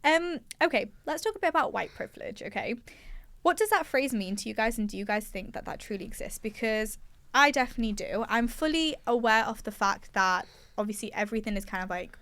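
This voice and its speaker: A woman's voice: a little high, expressive, a little fast, a bit bright, no room sound. A woman hosting a casual chat show, chatty and asking her guests questions. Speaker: host.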